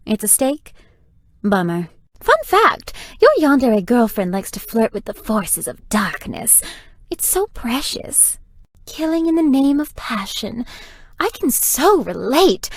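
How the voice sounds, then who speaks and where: High, lively flirtatious vampire lady. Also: measured pace, dry recording, studio quality, exaggerated voice. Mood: amused, teasing. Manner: flirty, teasing, melodramatic. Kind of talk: scripted video game character dialogue.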